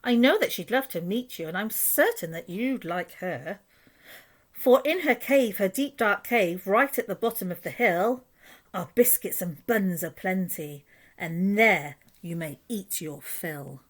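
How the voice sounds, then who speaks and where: A woman's voice: medium pitch, expressive, measured pace. A woman voicing a plucky, polite female duck who coaxes cleverly in a children's storybook. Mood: coaxing. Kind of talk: children's storybook read aloud.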